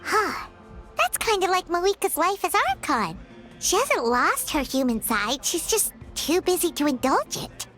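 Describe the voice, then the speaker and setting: A woman voicing a small, excitable, food-loving girl fairy companion in a video game, with a squeaky childlike voice. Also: measured pace, faint music behind, exaggerated voice. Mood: curious. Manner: refers to self in third person, exclamatory.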